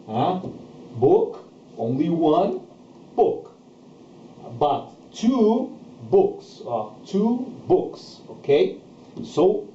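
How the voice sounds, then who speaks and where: A man's voice: on the high side, mellow. A Brazilian man giving an explanatory language lesson as a teacher, repeating key words and often checking that learners follow. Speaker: English teacher. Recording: echoey room, dull sound, background noise.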